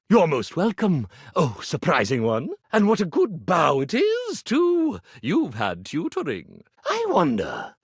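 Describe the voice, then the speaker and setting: A man voicing a grandiose stage magician for a video game, an animated iron who declaims in a pompous, florid, melodramatic way. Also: fairly high pitch, no echo, studio quality, full character voice. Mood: impressed, courteous. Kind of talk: video game character voice acting.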